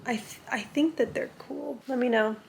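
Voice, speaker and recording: A young woman chatting casually to camera in her vlog, a little raspy from a cold and saying "like" a lot. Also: fairly high pitch, steady tone, normal speed. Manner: chatty, says "like" often. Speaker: lifestyle vlogger.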